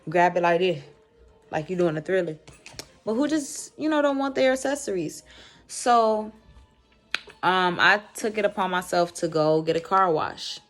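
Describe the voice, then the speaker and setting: Casting: American woman vlogger, low voice, loose slangy storytime delivery with animated asides. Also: normal speed, faint music behind. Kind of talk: casual storytime chat to camera.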